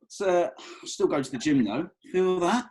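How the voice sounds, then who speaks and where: A man's voice: high-pitched, expressive, normal speed, a little mellow, husky. A man playing an awkward, eager young man in a script read, hesitant and conversational. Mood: defensive, boastful.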